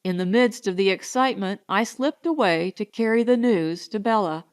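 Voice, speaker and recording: A woman's voice: mid-range voice, moderate pace, clear, resonant tone. A woman reading first-person narration from a comic novel as an audiobook narrator.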